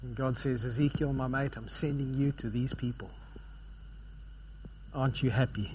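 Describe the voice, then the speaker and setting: A man preaching a sermon, swinging between loud emphatic passages and quiet, low ones. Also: fairly even intonation, moderate pace, slight background noise, poor audio.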